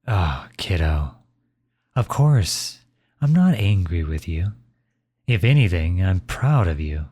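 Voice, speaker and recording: A man acts out a middle-aged dad in an ASMR audio roleplay. His voice sits low and he takes his time with each phrase. The manner is tender and reassuring, that of a caring father comforting his child up close. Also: very animated, slow, slightly rough, exaggerated voice. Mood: proud reassurance. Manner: tender, reassuring, softly chuckling. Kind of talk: ASMR roleplay, intimate acted monologue.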